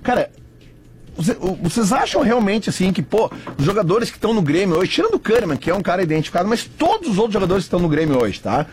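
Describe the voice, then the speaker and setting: A man with a southern Brazilian accent hosting a sports talk radio show, animated and chatty. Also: high-pitched, fast. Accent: southern Brazilian, Rio Grande do Sul. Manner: animated, says "cara" a lot.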